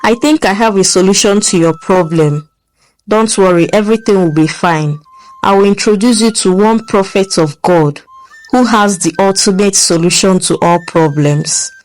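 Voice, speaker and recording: A woman voicing a caring female friend, speaking softly and reassuringly in a lower voice. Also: normal speed, soft background music, lightly acted, rough recording. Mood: soothing. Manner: soft, soothing, reassuring.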